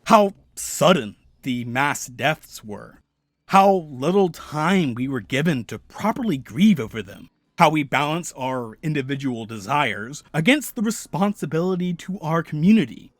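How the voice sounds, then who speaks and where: A man narrating a video essay in a measured, analytical way. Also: on the high side, very animated, no echo. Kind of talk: scripted narration.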